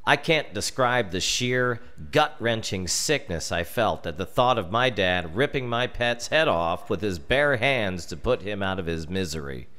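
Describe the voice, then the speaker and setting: An American man reading a scripted story as narrator, theatrically, with dramatic pauses. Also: on the low side, animated, sharp tone. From United States. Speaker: storyteller reading his comic family memoir.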